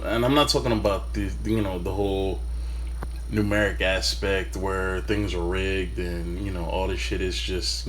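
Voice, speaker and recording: A man talking casually to his camera, rambling with many fillers and self-corrections while keeping his usual loud voice deliberately soft. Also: on the low side, a little hiss or hum, low-quality recording. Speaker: sports vlogger.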